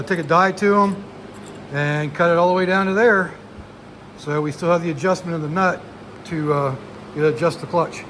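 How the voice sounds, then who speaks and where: A man working in a metal shop, explaining each repair step aloud in a casual, rambling way with lots of fillers and speaking up loudly. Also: fairly high pitch, noisy background. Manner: speaks up loudly over the rain. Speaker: mechanic and channel host. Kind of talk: casual garage how-to narration. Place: metal shop building during rain. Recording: loud rain drumming on a metal roof.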